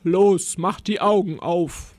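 A woman's voice: lowish voice, steady tone, slow pace, darkish tone, no room sound. A woman voicing a huge, bored, bullying monster in a children's story, growling and snapping out orders. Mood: threatening, bossy. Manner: growling, grunting, snapping commands. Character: huge bored, bullying male-voiced fairground monster, hungry for company.

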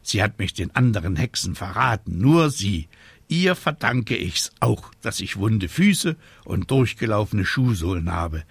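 A stubborn little storybook witch, voiced by a man, grumbling and emphatic. The voice is husky and creaky, with lively pitch and a vengeful edge. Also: unhurried, no echo, heavily acted. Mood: vengeful, indignant.

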